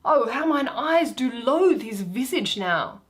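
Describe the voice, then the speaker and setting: A woman voicing a graceful, dreamy fairy queen in expressive Shakespearean verse. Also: on the high side, slow. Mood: startled wonder.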